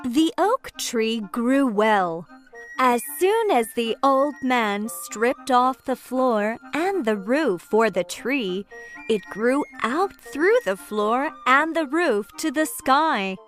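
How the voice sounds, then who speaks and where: A woman narrating a children's fairytale from a script, in clearly phrased storytelling style. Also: on the high side, lively intonation, takes it slowly, soft background music.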